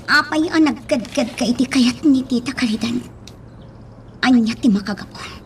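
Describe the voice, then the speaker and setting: An actress playing a distressed, insistent young daughter in a radio love drama, with a Filipino accent. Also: female voice, a little high, normal speed, slight background noise, natural voice. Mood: accusing, hurt.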